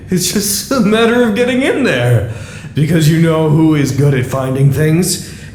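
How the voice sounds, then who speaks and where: A man's voice: a little high, heavily acted. A man playing a frantic, rambling facility worker in an audio drama, searching and talking theatrically to himself. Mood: manic playfulness. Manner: theatrical, rambling, talks to imagined listeners. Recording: echoey room with faint noise.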